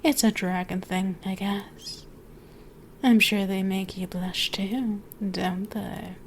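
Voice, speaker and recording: Female dragon, voiced by a woman, ancient, seductive, domineering, unhurried teasing delivery, whispery ASMR roleplay, medium pitch, ambient background noise. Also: fairly even intonation. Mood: sultry, dominant. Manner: slow, teasing, drawn-out, often dropping to whispers. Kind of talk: ASMR roleplay, speaking intimately to the listener.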